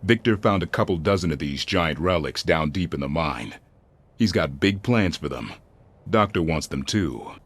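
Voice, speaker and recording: An actor voicing a gruff, cocky creature brawler in a video game, blunt and sardonic. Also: male voice, very deep voice, animated, normal speed, a bit bright, exaggerated voice. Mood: matter-of-fact. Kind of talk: video game cutscene acting. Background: faint music.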